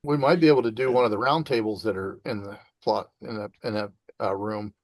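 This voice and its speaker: A man's voice: fairly low pitch, steady tone, brisk, no room sound. A man talking practically and a little wryly in a casual group discussion.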